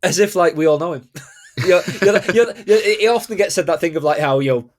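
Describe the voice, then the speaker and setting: A man's voice: fairly high pitch, quick pace. A man with a Manchester accent hosting a football podcast, chatting casually and laughing through his stories. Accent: Mancunian. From Manchester, England. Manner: animated, laughs while telling stories. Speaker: podcast host. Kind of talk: casual chat.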